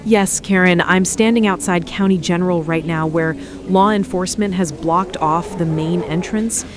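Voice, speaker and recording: A woman's voice: fairly low pitch, normal speed, crowd noise, natural voice. A woman playing an uneasy TV field reporter giving serious live reports from an emergency scene. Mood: tense, hesitant. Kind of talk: live on-location news report.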